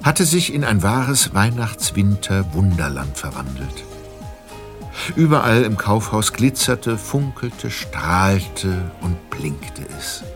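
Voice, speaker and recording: Man, scripted story narration, fairly low pitch, music bed. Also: sing-song, slow. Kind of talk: scripted audio drama narration.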